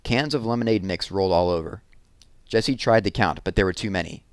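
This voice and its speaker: Lowish-voiced man narrating a children's book. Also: brisk, clear, resonant tone, no echo. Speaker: read-aloud narrator.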